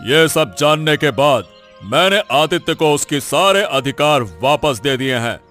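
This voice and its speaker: A man's voice: fairly high pitch, lively intonation, a little slow, fairly bright tone, faint music behind. A man playing an authoritative village headman in a cartoon moral story. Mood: stern, commanding. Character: stern authoritative village headman passing judgment.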